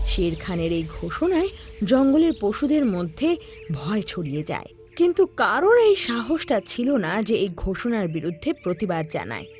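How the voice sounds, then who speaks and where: A woman's voice: medium pitch, darkish tone. A woman narrating a scripted children's cartoon story. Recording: background music under the voice.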